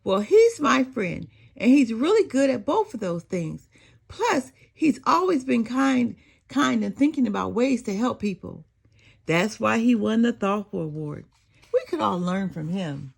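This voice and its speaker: A woman's voice: a little high, sing-song, unhurried, gravelly, slightly rough, heavily acted. A woman voicing an earnest, tender-hearted young schoolboy in a children's storybook, speaking in simple phrases. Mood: firm, proud. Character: earnest, tender-hearted second-grade schoolboy telling his feelings.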